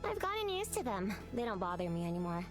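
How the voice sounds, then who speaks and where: A woman's voice: mid-range voice, big pitch swings, quick pace, close to an everyday voice. A woman playing a friendly young girl mech pilot in a video game, youthful and matter-of-fact. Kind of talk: video game hero voice lines. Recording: game dialogue over loud music.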